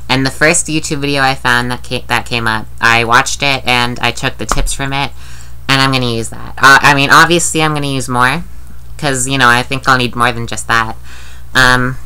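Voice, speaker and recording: A woman's voice: rumbling low, steady tone, moderate pace, sharp tone, clean tone. A woman with a nasal voice, talking in a rambling, candid video diary and swearing casually. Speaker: vlogger.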